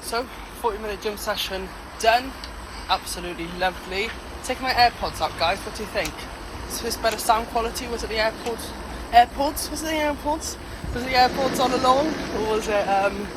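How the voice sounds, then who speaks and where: A young man with a Welsh accent chats casually for a home vlog, bouncy and quick to laugh at himself. His voice sits at a middling pitch for a man. The room rings with echo, and steady noise sits underneath him. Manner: chatty, excitable, self-mocking. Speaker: vlogger, new father. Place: home, indoors. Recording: echoey room with background noise.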